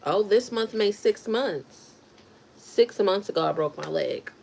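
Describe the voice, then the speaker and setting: A woman's voice: medium pitch, animated, a little slow, vocal fry, a little mellow, a little hiss or hum. A woman in her thirties chatting casually on a livestream, reacting to viewer comments as she talks. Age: 30s. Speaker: livestream host.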